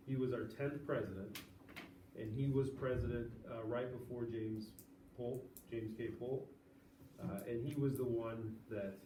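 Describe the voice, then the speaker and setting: Male teacher, relaxed storytelling lecture style, distant echoey classroom recording, noisy. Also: medium pitch. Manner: casual, chatty storytelling to students.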